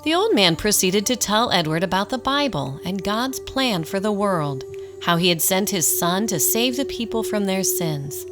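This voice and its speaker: A woman acting as the narrator of a radio drama, telling a scripted story in a slightly formal, expressive storybook style. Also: mid-range voice, normal speed, over music, natural voice. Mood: storytelling. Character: storybook narrator, wry and unhurried.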